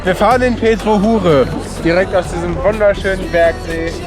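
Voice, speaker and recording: A young man with a fairly high voice talks casually, as a kayaker would on a trip video. He is recorded outdoors, with loud music laid over his voice. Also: moderate pace, crowd noise. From northern Germany. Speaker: kayaker on an expedition. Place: outdoors by rivers.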